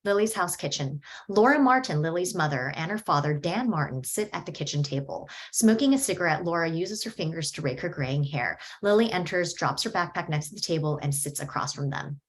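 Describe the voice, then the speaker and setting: A woman reading screenplay stage directions at a table read in a steady, descriptive way. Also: sits low, fairly quick, few pauses.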